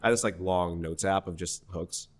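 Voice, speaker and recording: A young man from New Hampshire, a singer-songwriter guest in a podcast interview, telling a story in a rambling, animated way full of restarts. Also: low voice, moderate pace. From New Hampshire, USA. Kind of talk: casual conversational interview.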